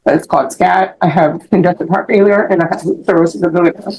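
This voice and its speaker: A middle-aged American woman giving testimony as a defendant in court, rambling and halting as she repeats herself. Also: fairly low pitch, steady tone, a little mellow, low-quality recording. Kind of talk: spoken testimony answering questions.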